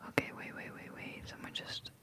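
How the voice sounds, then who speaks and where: A Dutch woman with an American-sounding accent in English, whispering breathily in a casual, chatty, playful way as she hosts an ASMR livestream and answers chat. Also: a little fast. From Netherlands. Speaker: livestream host, ASMR creator.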